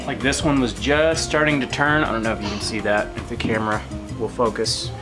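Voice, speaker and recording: A man in his thirties talks in an easygoing, joking way as he cooks in a home kitchen and explains each step to his viewers. His voice sits at a middle pitch for a man. Music plays clearly underneath his voice. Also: fairly even intonation, fairly quick. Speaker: homestead vlog co-host. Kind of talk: casual chat while cooking.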